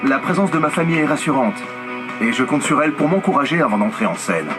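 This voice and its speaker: A man's voice: on the high side, expressive, fast, lightly acted. A middle-aged man dubbing a documentary subject in an earnest, heartfelt voice-over. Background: music.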